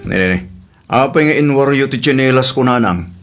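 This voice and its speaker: A man's voice: medium pitch, animated, a little fast, over music, close to an everyday voice. A man playing an aggrieved, defiant son-in-law in a comedy drama, complaining defensively with an Ilocano accent.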